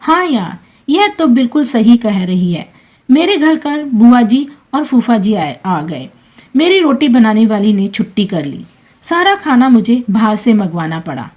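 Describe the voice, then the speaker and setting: A woman's voice: fairly high pitch, sing-song, a little slow, a little mellow. A woman voicing a chatty, complaining female friend in a family story, with a gossipy manner.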